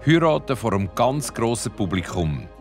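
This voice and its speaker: A man's voice: mid-range voice, very animated, normal speed, faint music behind. A man, narrating a TV retrospective with a light, wry touch. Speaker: show host, narrator. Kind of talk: scripted TV voice-over narration.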